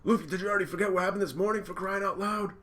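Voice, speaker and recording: A sharp-tongued young woman navigator speaks in a high, fretful falsetto, as a man puts her on. She sounds worried and scolding. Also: expressive, brisk.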